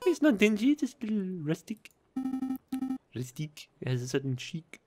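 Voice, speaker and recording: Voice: mid-range voice, big pitch swings, normal speed, pitched above the performer's own voice, lightly acted. A game player voicing a well-bred young female bird, airy and a little haughty, with faint music behind. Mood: gossipy.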